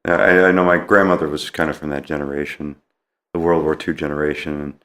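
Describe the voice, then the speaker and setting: Very deep-voiced American male podcast host. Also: flat delivery, quick pace, a bit bright. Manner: supportive, affirming. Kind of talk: casual interview.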